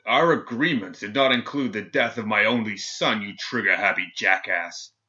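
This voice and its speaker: A man's voice: mid-range voice, moderate pace, sharp tone, natural voice. A man voicing a cold, sadistic governor general who speaks with clipped contempt and finality. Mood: barking anger. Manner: cool, clipped, contemptuous, through clenched teeth. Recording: slightly dull lo-fi recording.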